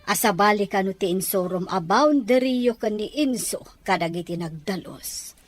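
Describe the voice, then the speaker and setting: Female actor, concerned wife, medium pitch, Ilocano accent, radio serial acting. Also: normal speed, lightly acted. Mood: confronting, worried. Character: Mirna, concerned wife confronting Pedro about boundary.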